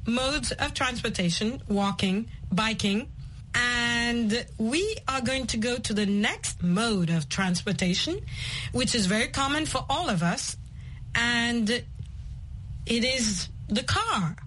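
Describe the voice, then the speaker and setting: Female English-lesson host from Kenya, fairly high voice, slow and deliberate, faint room ambience behind. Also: lively intonation, fairly bright tone, slight background noise, poor audio. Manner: slow, clear, repeats the guest's words.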